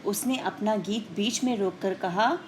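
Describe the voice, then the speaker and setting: A woman's voice: mid-range voice, a little slow, slight background noise. A woman teacher telling a moral lesson to children in a didactic way, explaining and putting questions straight to her listeners. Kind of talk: scripted storytelling lesson addressed to children.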